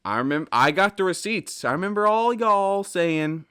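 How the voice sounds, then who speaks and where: A man's voice: sits high, lively intonation, moderate pace, sharp tone, clear, resonant tone. A man in his twenties hosting a solo podcast, talking casually in a candid, rambling, self-deprecating way. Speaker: podcast host.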